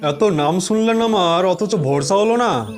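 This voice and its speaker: A man's voice: high-pitched, animated, a little slow. A man voicing a wry, confident, bossy ghost-hunting investigator in an audio suspense story over music. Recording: music bed behind the voice.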